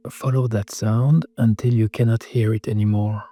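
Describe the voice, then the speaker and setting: Male guided-meditation voice: soothing, slow and measured, soft-edged husky tone, dry close sound. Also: fairly low pitch, fairly even intonation, no echo. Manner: soothing, measured short phrases. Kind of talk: scripted guided meditation, calming instructions. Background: singing bowl tones.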